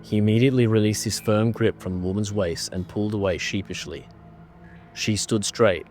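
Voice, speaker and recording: A man narrating a scripted horror story in a measured, dramatic manner. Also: lowish voice, steady tone, darkish tone, soft background music.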